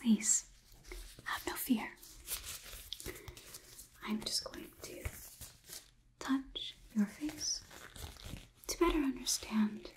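A young woman in her twenties playing a politely condescending female robot overlord in a slow, measured, breathy ASMR whisper, close to the microphone. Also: medium pitch, a little mellow, slight background noise, close to an everyday voice, low-quality recording. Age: 20s. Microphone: close sensitive microphone.

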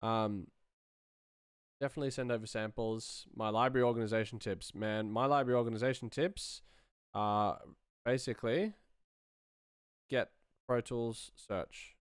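A man's voice: medium pitch, fairly even intonation, moderate pace, long pauses, clean tone, no room sound. An Australian man in his thirties talking casually and offhandedly as he works on a live stream, close to a desk microphone. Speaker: sound designer, live streamer. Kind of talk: casual commentary while working, answering chat. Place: small indoor room.

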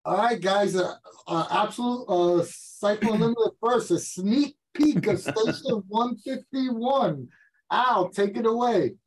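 Enthusiastic high-voiced male host. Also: animated, a little slow, rough recording. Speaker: show host. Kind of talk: casual livestream interview. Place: indoors, on a video call.

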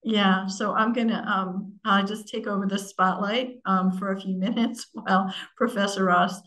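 A woman's voice: medium pitch, fairly even intonation, normal speed, a bit bright, low-quality recording. A woman hosting a talk in a room, speaking casually with many fillers as she introduces the speaker and explains the Q and A. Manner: friendly, informal, filler-heavy. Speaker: event host. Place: lecture room.

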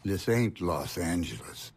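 Husky, smug celebrity mayor. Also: male voice, deep voice, big pitch swings, unhurried, darkish tone, exaggerated voice. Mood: wry confidence. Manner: wry, self-assured. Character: smug, folksy celebrity mayor, an unflappable tough guy.

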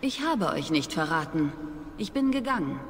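An actress playing a proud, aloof young witch in a video game, with measured, formal, disdainful speech. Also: fairly low pitch, very animated. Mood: bitter, disdainful. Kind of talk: dramatic video game dialogue. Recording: long reverb, noisy game ambience.

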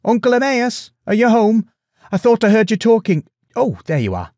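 A man's voice: mid-range voice, huge pitch swings, a little fast, a little mellow, husky, no echo, studio quality, voice pushed up, full character voice. A man voicing a polite, open, eager young prince in a storybook. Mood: cheerful.

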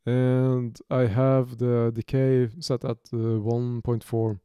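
A man in his thirties from Norway with a Norwegian accent, giving an unscripted music software tutorial from his home studio, talking haltingly with many restarts and fillers. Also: medium pitch, flat delivery, smooth, no echo. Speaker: music producer demonstrating software.

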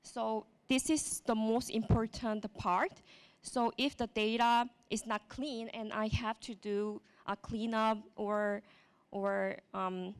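A woman from South Korea with a Korean accent, speaking English as a second language in a conference talk on a large stage, pausing often with fillers and restarts into a handheld mic. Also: fairly high pitch, fairly even intonation, smooth. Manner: halting, many fillers and restarts.

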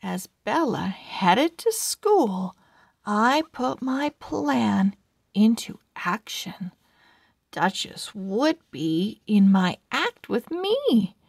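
Woman, storybook narration, very slow pace, careful phrasing, lively pitch, dry sound. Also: creaky, slightly rough, dry recording. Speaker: children's book read-aloud reader. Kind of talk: slow expressive storybook narration for early readers.

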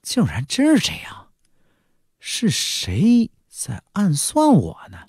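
A man voicing a wry, wary young cultivator hero in a fantasy audiobook, exclaiming in slow, drawn-out words. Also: high-pitched, huge pitch swings, darkish tone, husky, dry recording, clean studio sound, pitched above the performer's own voice, heavily acted. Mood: wary puzzlement.

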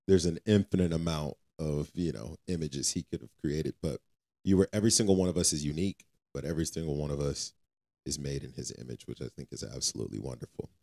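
Deep, mellow-voiced man, earnest and exhorting. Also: fast talker, vocal fry. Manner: earnest, exhorting, repeats phrases for emphasis. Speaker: podcast co-host. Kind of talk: casual faith podcast talk.

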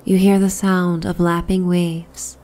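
A woman narrating a guided sleep meditation in a soothing, hushed, breathy and unhurried way. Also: on the low side, little pitch movement, slight background noise. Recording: soft background ambience soundscape. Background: relaxing ambient soundscape.

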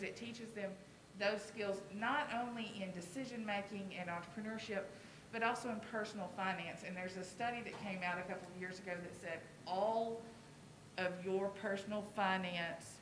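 A middle-aged woman, an elementary teacher, explaining things conversationally in an informal presentation at a podium microphone through a face mask. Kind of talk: informal presentation to a school board. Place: school board meeting room. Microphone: podium microphone, speaking through a face mask. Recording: echoey room with clear low rumble.

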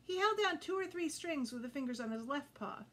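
A woman in her fifties reading a children's story aloud with expressive, deliberate phrasing. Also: high-pitched. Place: indoor room with green curtain backdrop.

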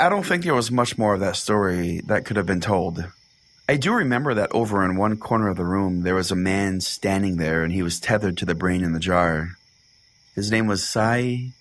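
Low-voiced, husky radio pastor acted by a man. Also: heavily acted. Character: exasperated, earnest male pastor hosting a call-in show. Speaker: radio show host.

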